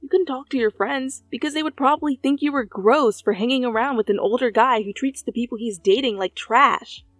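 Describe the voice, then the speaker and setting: A woman's voice: on the high side, fairly quick, hardly any pauses, dry recording. A woman reading scripted second-person fiction as a narrator, close to the microphone. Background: soft background music.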